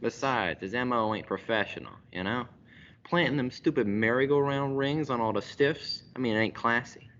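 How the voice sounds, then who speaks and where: A man's voice: medium pitch, moderate pace, a bit bright, close to an everyday voice. Cocky wise-guy gangster, muffled sound young man acting. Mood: cocky, mocking. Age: teens. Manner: slangy wise-guy delivery. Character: cocky, sneering male mob boss. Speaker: school radio drama actor. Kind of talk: gangster radio-play acting. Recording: dull audio with faint noise.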